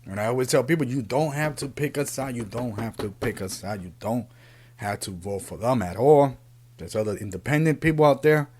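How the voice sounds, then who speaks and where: A man, born in Puerto Rico and raised in the Bronx, giving an earnest, unscripted opinion monologue as a podcast host, often halting and repeating himself. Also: medium pitch, expressive, a little mellow, breathy edge. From Puerto Rico, raised in the Bronx, New York City.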